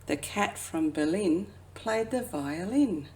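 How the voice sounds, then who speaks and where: A woman who works as a children's educator reads a picture book aloud expressively, drawing out phrases and dropping into hushed asides. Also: fairly low pitch, animated, slow pace, slight background noise. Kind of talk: children's storybook read-aloud.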